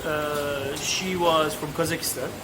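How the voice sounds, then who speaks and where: A man in his thirties with a Turkish accent and a notably high voice speaks as a podcast guest. He tells his story candidly but haltingly, with frequent restarts. A noisy bar hums loudly behind him. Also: steady tone. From Turkey. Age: 30s. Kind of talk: casual interview chat. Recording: noisy ambient background.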